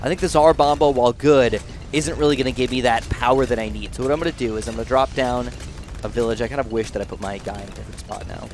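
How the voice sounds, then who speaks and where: A young man with a nasal voice giving rambling, excitable commentary while playing a video game. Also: mid-range voice, quick pace, slight background noise. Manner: rambling, excitable, thinks aloud. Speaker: gaming channel host. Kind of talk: casual gameplay commentary.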